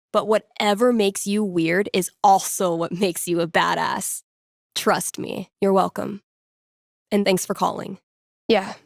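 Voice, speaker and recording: A woman's voice: mid-range voice, dry recording, natural voice. Candid young punk woman, close dry sound, acted. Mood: reassuring, candid, then playful. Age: young adult. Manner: conversational, sincere, wry. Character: candid, self-deprecating young punk nerd woman, warm underneath. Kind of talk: naturalistic video game voice acting.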